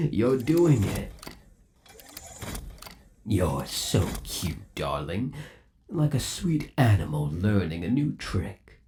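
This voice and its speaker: Guy doing a lowish voiced yandere robot bunny boyfriend, asmr rp, creepy sweet and clingy, really swoopy intonation. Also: sing-song, mellow, a little hiss or hum, exaggerated voice. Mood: tender, encouraging. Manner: slow, doting, theatrical cooing. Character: possessive undead animatronic rabbit boyfriend, lovesick and sinister. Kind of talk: ASMR roleplay acting.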